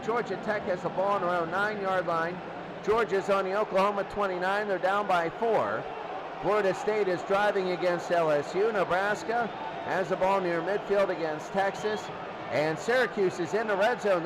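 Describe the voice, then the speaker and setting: A man calling football play by play in short, clipped, excitable phrases. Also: high voice. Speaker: play-by-play sports commentator. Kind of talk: live American football commentary. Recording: noisy crowd bed behind commentary.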